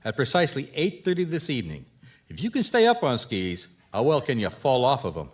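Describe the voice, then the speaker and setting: An actor playing a wry, steady private detective in a radio mystery, with a casual, clipped delivery. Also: male voice, mid-range voice, fairly quick. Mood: brisk, directing. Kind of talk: radio mystery drama acting.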